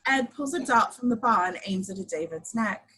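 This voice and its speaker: A woman's voice: mid-range voice, lively intonation, normal speed, bright, forceful tone, husky. A woman reading scripted action description as narrator in an amateur table read of a screenplay.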